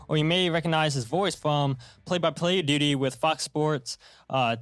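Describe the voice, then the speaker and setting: A man's voice: fairly high pitch, fairly bright tone. A man from Maryland hosting a soccer podcast, chatty and loose, leaning on fillers. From Maryland, USA. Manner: chatty, leans on fillers like "and everything". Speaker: podcast host. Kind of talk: casual podcast chat.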